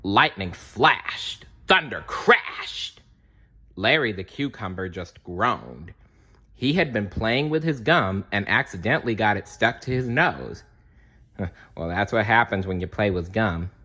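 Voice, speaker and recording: Male children's read-aloud narrator: lowish pitch, very animated intonation, dramatic delivery. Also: slow, slightly rough. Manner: dramatic, explains asides to young listeners. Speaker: storybook reader.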